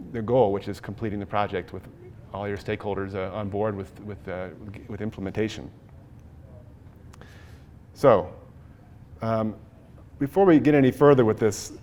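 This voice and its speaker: A man's voice: lowish voice, a little mellow. A man in his thirties giving a conference talk from a podium, chatty and loose, with plenty of ums and restarts. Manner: conversational, with frequent ums and restarts. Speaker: conference presenter. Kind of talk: live workshop talk with audience input. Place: large conference hall, at a podium. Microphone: podium mic, later handheld.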